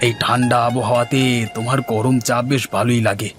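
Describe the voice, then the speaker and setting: A man's voice: medium pitch, steady tone, fairly quick, fairly bright tone, faint music behind, lightly acted. A man voicing a plain, chatty working family man in a Bengali village cartoon.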